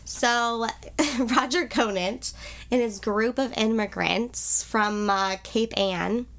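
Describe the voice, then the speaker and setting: A woman's voice: a little high, slow pace, fairly bright tone. Nasal woman with a cold. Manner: bubbly, self-deprecating, laughs often. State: congested from a cold. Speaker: podcast co-host. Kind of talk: casual chat, telling history.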